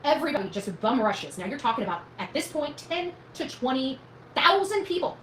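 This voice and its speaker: A woman's voice: high-pitched, sing-song, brisk, a bit bright. A woman telling a rambling, casual story to her viewers in a folksy, emphatic, colloquial way. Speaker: vlogger recounting own experience. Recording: echoey room with faint noise.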